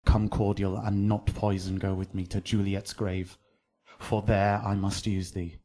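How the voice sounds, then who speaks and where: Tragic young lover, male actor, classical radio play: lowish voice, intense, commanding, desperate. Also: flat delivery, a little slow, warm tone. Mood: bitter. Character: passionate, desperate young nobleman in love. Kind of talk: Shakespearean radio drama acting.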